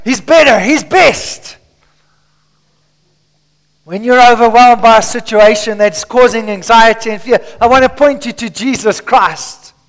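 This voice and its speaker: A man's voice: very high voice, a little slow, fairly bright tone. A male pastor preaching a rousing sermon in a large church, calling the congregation to answer back. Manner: rousing, call-and-response preaching. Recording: long church hall reverb.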